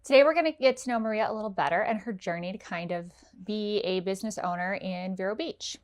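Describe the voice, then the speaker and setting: A woman's voice: mid-range voice, fast talker. A woman hosting a casual interview, chatty and affirming as she talks with her guest. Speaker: interviewer, host.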